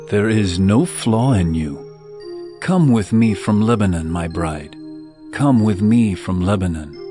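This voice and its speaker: An actor playing an adoring royal bridegroom in a dramatized scripture reading, with a measured, tender and lyrical delivery. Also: male voice, fairly low pitch.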